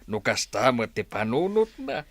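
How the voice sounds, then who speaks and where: A man's voice: mid-range voice, sing-song, fairly bright tone, no echo. An actor playing a doting, hesitant elderly father in a radio drama, hemming and murmuring as he talks. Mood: concerned, questioning. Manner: halting, full of hems and murmurs.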